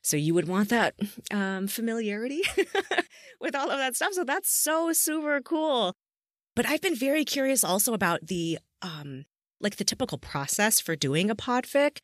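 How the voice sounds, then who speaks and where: A woman's voice: on the high side, expressive, normal speed, no echo, clean studio sound. A woman hosting a casual interview, effusive and informal in the way she talks. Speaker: podcast interviewer and host.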